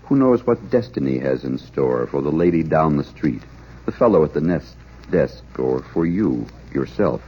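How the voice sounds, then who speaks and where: A man's voice: rumbling low, expressive, moderate pace, slight background noise. A man announcing a radio drama series in a theatrical, portentous style of 1940s scripted narration. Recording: dull vintage radio recording, loud organ music. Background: loud organ music.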